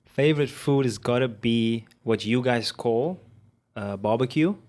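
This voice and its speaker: A South African man in his late twenties, a podcast guest answering questions in an easygoing, soft-spoken way, often laughing. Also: medium pitch, measured pace. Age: late 20s. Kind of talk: casual interview chat.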